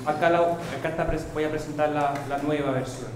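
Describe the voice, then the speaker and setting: A man, reading a prepared academic paper in a measured way, on a table mic in a seminar room. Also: fairly high pitch, fairly even intonation. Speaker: conference speaker. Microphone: table gooseneck mic. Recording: echoey room with faint noise.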